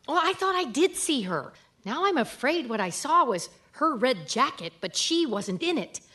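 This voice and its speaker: An actress playing an observant, shrewd woman investigator in a radio mystery, brisk and knowing. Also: high voice, big pitch swings, bright, forceful tone, full character voice. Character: observant, shrewd female detective's partner with keen memory. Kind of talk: radio mystery drama acting.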